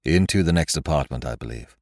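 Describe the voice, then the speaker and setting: Low-voiced impatient police inspector, read by a man, brisk, dry sound. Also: expressive, a little mellow, no room sound. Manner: brisk, matter-of-fact. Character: gruff, impatient middle-aged police inspector.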